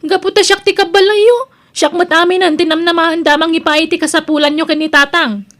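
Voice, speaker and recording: A voice actress plays a resentful young daughter in an Ilocano family radio drama. Her voice is high and nagging. She has an Ilocano accent and sounds fed up with her relatives. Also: steady tone, brisk, a bit bright. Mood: indignant. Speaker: drama voice actor.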